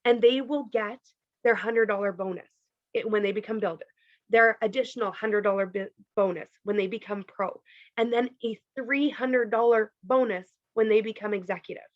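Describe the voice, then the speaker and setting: A woman from Canada walking her team through a pay plan on a video call, chatty and full of "like" and "okay", her voice going hoarse. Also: mid-range voice, steady tone, moderate pace, no room sound, muffled recording. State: losing her voice. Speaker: team leader presenting a compensation plan. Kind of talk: casual presentation on a video call.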